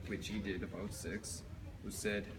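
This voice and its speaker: Low-voiced man reading a fairy tale, echoey. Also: fairly even intonation, a little slow. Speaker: storyteller. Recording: noisy, reverberant hall recording.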